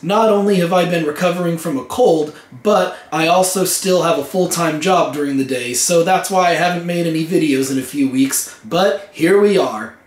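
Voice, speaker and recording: A young man talks to camera for his home vlog, his voice hoarse and worn from a cold. He sits fairly high for a man, and the room around him rings with echo. He speaks earnestly and plainly. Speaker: vlog host. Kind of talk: casual vlog talk to camera. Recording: echoey room.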